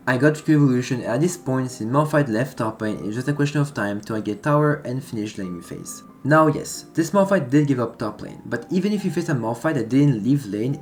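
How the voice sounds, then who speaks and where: A young man with a French accent, his English a little non-native as he walks through his own match. He talks briskly at a middle pitch, with faint background music under the voice. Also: darkish tone. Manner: explanatory, slightly non-native phrasing. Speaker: gaming content creator. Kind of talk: gameplay commentary voice-over.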